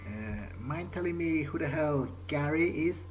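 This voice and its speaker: A man's voice: fairly high pitch, moderate pace, music in the background. A man doing in-character gameplay commentary, thinking aloud in a hesitant, musing way. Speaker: let's player. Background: game ambience.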